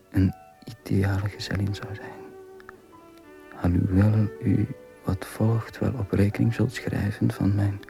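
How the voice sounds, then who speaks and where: An actor playing a proud Italian count who reads out a florid, ceremonious letter in a radio drama. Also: male voice, on the low side, gravelly. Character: proud Italian count reading his courtly matchmaking letter. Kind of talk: radio drama, formal letter read aloud. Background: violin music.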